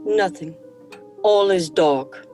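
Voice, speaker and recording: An actress playing an entranced young woman under a vampire's spell in a radio horror drama, speaking in a slow, dreamy trance. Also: lowish voice. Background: soft music.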